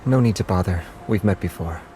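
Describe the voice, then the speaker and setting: A man's voice: low voice, fairly quick, dark tone, lightly acted. An actor playing a curt, aloof male agent in a video game, with noise behind him. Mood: cool, dismissive. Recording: clear vehicle noise behind.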